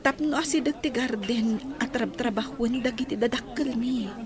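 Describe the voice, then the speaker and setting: A woman's voice: a little high, steady tone, normal speed, natural voice. A woman playing an earnest young daughter who recounts events in an audio drama. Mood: reflective. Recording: clear background noise and ambience.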